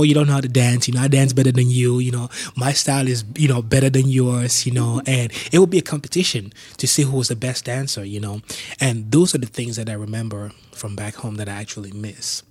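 A man in his thirties with a Cameroonian accent, telling his life story in simple, unhurried explanations sprinkled with "you know". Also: lowish voice, breathy edge. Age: 30s. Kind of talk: personal storytelling.

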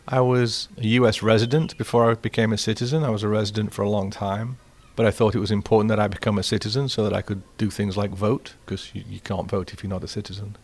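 An Englishman with an English accent looks back on his life in a low, level voice that barely rises or falls. His telling is measured and reflective, an unhurried personal account. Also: steady tone. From England. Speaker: interviewee. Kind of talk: storytelling.

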